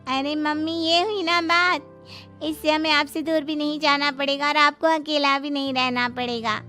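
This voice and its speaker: A woman's voice: high-pitched, steady tone, moderate pace, sharp tone, soft background music. A woman voicing a devoted young adult daughter in a cartoon, earnest and eager. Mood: pleased.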